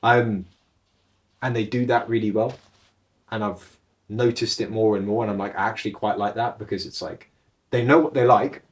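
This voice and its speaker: A man's voice: on the low side, steady tone, normal speed, pauses often. A young man from England, chatting casually as a podcast co-host and rambling with restarts as he searches for words. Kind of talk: casual chat.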